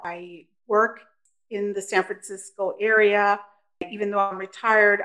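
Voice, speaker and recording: A woman's voice: mid-range voice, low-quality recording. Effusive woman in her fifties. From United States. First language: Spanish. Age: 50s. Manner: effusive, openly emotional, animated. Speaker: podcast guest, retired police officer. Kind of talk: casual interview chat.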